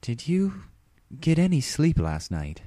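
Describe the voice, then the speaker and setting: A serene pony princess played by a man speaks as a caring, playful big sister. The voice sits well above the reader's natural pitch, in a dry storybook recording. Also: huge pitch swings, slow pace, dark tone, dry recording, exaggerated voice. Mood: gentle concern.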